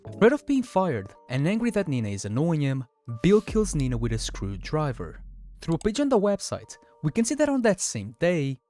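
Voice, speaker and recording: A man's voice: on the high side, mellow. A man giving scripted, explanatory narration in the style of a video essay.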